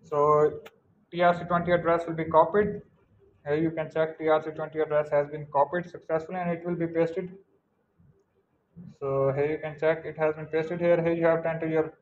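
Fast, flat-toned man narrating a tutorial. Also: on the high side, lo-fi sound, slight background noise. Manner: repetitive, formulaic walkthrough phrasing. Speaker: channel host. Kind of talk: screen-recording tutorial voice-over.

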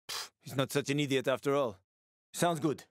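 An actor playing a wry, roguish middle-aged survivor in a video game, with a sardonic, slangy, casual way of talking. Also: male voice, medium pitch, normal speed, dry recording, clean studio sound, lightly acted. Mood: wry, matter-of-fact. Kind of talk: video game character acting.

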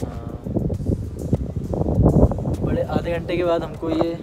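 A man's voice: on the high side, takes it slowly. A man in his twenties hosting a casual travel vlog outdoors on a misty mountain trail. He talks straight to his viewers and asks his companions questions, with the camera held at arm's length on a selfie stick. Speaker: motovlogger host. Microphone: handheld camera on a selfie stick at arm's length. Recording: loud wind noise on camera mic. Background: loud wind and outdoor noise.

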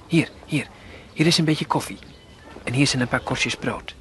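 Male reader voicing a gruff old stall keeper for a storybook radio reading, voice lifted higher and louder than his narration, hushed and urging by turns. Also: moderate pace, slight background noise, close to an everyday voice.

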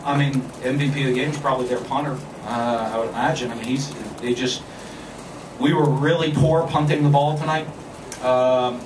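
A man in his forties, a football coach answering postgame questions at a press conference, speaking in a measured way with many fillers and restarts. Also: medium pitch. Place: press room with backdrop. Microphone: podium mic, filmed from a distance. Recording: echoey room, far from the camera, background noise.

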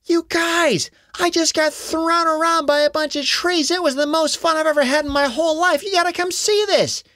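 Woman doing an excitable, high-pitched young boy. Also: moderate pace, no room sound, studio quality. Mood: amazed, excited. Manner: amazed, exclaiming, casual kid speech. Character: excitable, wide-eyed young boy at summer camp. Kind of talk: children's story character acting.

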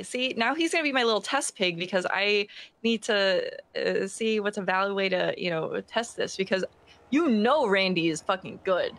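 A woman with an average-pitched voice talks casually on an in-game role-play call. She comes across blunt and sarcastic, with crude language. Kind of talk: casual in-character phone banter in an online role-play game.

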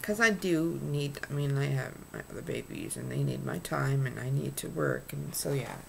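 A woman's voice: a bass voice, lively intonation, moderate pace, gravelly, a little hiss or hum. A woman in her thirties chatting casually in a home vlog, rambling with ums and restarts as she talks at her webcam. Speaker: vlogger showing purchases. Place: small room indoors. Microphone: webcam at desk.

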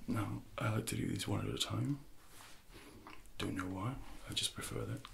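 A man in his thirties speaking in a soft, breathy near-whisper, gently and slowly coaching a workout as an ASMR personal trainer. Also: lowish voice, noisy background. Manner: slow, gentle, hushed coaching.

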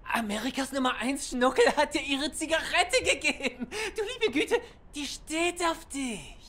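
An actor playing an excitable, cheeky weasel sidekick in a noir game, boisterous and gossipy. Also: male voice, very high voice, a little slow, exaggerated voice. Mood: amused, envious. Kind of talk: cinematic noir game acting.

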